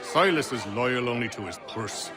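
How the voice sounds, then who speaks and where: An actor playing an earnest, weary, scholarly gentleman in a game cutscene, precise and formal in speech. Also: medium pitch, animated, normal speed, over music, lightly acted. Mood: frustrated, sober. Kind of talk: dramatic game cutscene acting.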